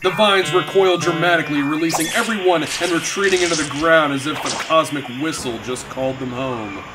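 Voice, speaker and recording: A man's voice: a little high, fairly quick, loud music behind the voice, natural voice, rough recording. A man giving dramatic scripted narration for a sci-fi comedy audio drama.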